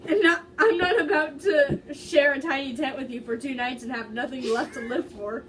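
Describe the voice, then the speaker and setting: Casting: woman as a whiny, sassy young man on a camping trip, fairly high voice, picked up from a distance. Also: moderate pace, close to an everyday voice. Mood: sassy, indignant. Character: sassy, whiny, clueless male singer. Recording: distant mic, faint background noise.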